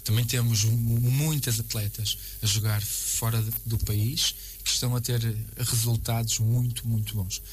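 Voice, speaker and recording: A man with a European Portuguese accent giving a casual interview as a coach, haltingly and often repeating words and phrases. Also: medium pitch, little pitch movement. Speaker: basketball coach, interview guest. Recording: clear background noise and hum.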